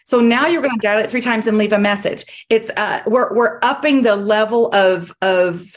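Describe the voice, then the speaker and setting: A woman's voice: on the high side, moderate pace. Emphatic woman coaching, voice worn. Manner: direct, emphatic, repeats key points. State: losing her voice. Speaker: sales coach. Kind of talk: coaching on a group call.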